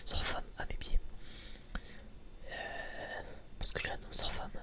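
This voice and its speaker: A man's voice: slow pace, pushed and bright. A man whispering breathily in a casual, unscripted ASMR style, rambling hesitantly with many false starts. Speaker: ASMR video creator.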